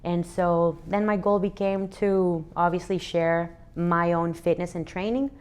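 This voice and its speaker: A woman's voice: fairly low pitch, steady tone, a little slow, darkish tone, clean tone. A woman in her thirties from Venezuela, answering interview questions in an earnest, reflective way with frequent restarts. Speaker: interview guest, athlete and fitness educator.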